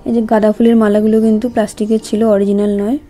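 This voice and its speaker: A woman's voice: medium pitch, flat delivery, very fast, mellow, faint music behind. A woman vlogger, giving a casual voice-over narration in short phrases.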